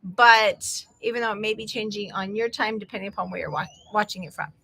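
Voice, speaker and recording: A woman's voice: medium pitch, fairly quick, gravelly, pushed and bright, breathy edge, rough recording. A middle-aged American woman hosting a casual livestream chat, chatty and rambling, laughing often between asides. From United States. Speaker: livestream host.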